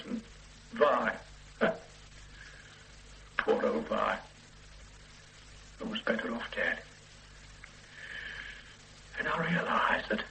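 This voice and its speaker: An actor playing a weary, regretful middle-aged man under police questioning in a radio play, speaking in a halting, hesitant way. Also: medium pitch, gravelly, slight background noise, exaggerated voice, rough recording. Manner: halting, hesitant confession with quiet weary asides. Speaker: murder suspect under questioning. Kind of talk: 1970s-80s radio play acting.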